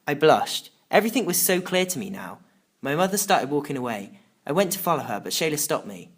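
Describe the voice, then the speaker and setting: A woman's voice: low voice, big pitch swings, a little fast. A woman narrating a children's story in the first person, reading in a dramatic, emotive way. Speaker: audiobook narrator.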